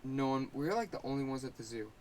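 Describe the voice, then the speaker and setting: A man's voice: medium pitch, lively intonation, fast, a little mellow, slight background noise. A young man co-hosting a podcast, chatting casually and trailing into restarts. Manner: laid-back, trailing restarts. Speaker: podcast co-host. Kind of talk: casual chat.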